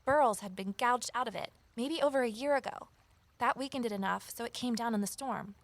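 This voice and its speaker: An actress playing a wary, determined amateur sleuth in a naturalistic audio drama, conversational and gently wry. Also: female voice, on the high side, brisk, dry recording, close to an everyday voice. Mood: earnest, concerned. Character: Evelyn, wary determined former journalist turned amateur sleuth. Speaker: lead character and first-person narrator.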